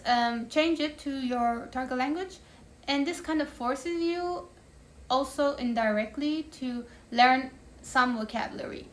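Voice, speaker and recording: A young woman giving casual, chatty advice on her vlog, with a sprinkling of fillers. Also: a little high. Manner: chatty, frequent "like" fillers, upbeat. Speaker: language-learning vlogger.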